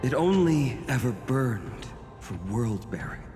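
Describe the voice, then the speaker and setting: Slow, brooding hero in cavernous reverb. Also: male voice, medium pitch, very animated. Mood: bitter, sorrowful. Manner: earnest, weighty, declamatory. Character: weary, brooding male hero burning with resolve. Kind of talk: dramatic video game voice acting. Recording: long echoing reverb under loud music.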